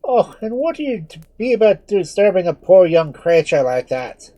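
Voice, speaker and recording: A man voicing a portly, cheerful Irish friar with a brogue, loud and fussing. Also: high voice, big pitch swings, normal speed, pitched above the performer's own voice. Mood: scolding alarmed. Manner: loud, bustling, fretful.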